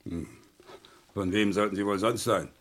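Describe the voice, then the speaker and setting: An actor playing a surly, evasive male murder suspect in a vintage crime radio play, curt, defensive and dismissive, with a gravelly voice. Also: lowish voice, steady tone, normal speed, a bit bright, slight background noise, natural voice.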